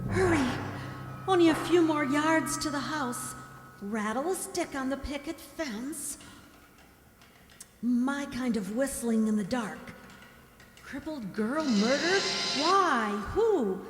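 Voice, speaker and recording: A woman in a radio drama playing a gentle, anxious young mute servant who voices her thoughts with breathy, wistful drama. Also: high-pitched, expressive, slow pace, pauses often, background noise. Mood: frightened, urgent. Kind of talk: radio drama narration and inner monologue. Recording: echoey hall with long reverb.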